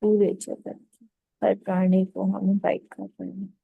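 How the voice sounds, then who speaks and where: A woman leading a guided meditation, giving calm, unhurried instructions in short phrases with pauses. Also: medium pitch, creaky, husky, no echo, narrow, tinny audio.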